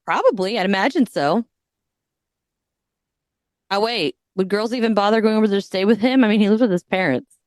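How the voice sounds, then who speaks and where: A woman's voice: medium pitch, brisk, long pauses, darkish tone, no echo, clean studio sound. A woman hosting a podcast, retelling a story in a casual, crude, joking way and often breaking into giggles. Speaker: podcast host.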